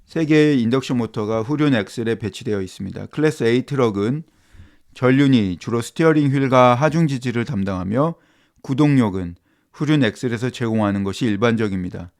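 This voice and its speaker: A man reading scripted explainer narration in a formal, measured manner. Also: mid-range voice, expressive, a little mellow.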